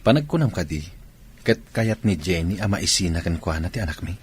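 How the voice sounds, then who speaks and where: A low-voiced soldier in radio drama. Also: male voice, lively intonation, fairly quick, breathy edge, dry recording, heavily acted. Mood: guarded, uneasy. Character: male soldier, married, talking with comrades. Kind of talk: Ilocano radio drama acting.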